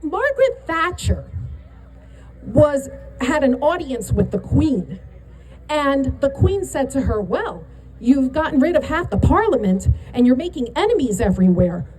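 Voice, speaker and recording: A woman's voice: high voice, big pitch swings, measured pace, slight background noise, low-quality recording. A middle-aged woman with a New York accent giving a punchy, rousing rally speech outdoors. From New York area. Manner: emphatic, punchy, building to shouts. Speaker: rally speaker.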